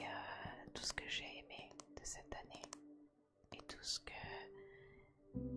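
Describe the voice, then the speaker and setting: A woman whispering gently and caringly in an intimate ASMR style, like chatting with a friend. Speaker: ASMR roleplay host. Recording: music clearly behind the whisper.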